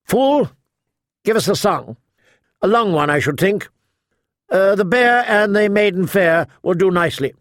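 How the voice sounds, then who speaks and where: A man voicing a sharp, imperious old noblewoman in an audiobook, blunt, commanding and mocking. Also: high voice, lively intonation, unhurried, no echo, clean studio sound, voice pushed up. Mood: commanding, wry. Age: older.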